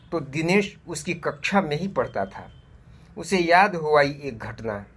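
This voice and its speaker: Male storyteller for kids' moral tales: husky, deliberate, slow-paced delivery. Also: on the high side, a bit bright. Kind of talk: scripted moral story narration for children.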